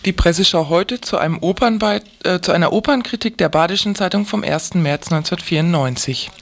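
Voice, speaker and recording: A man's voice: high voice, a little fast, a bit bright. A man presenting on radio, reading out a scripted press review commentary.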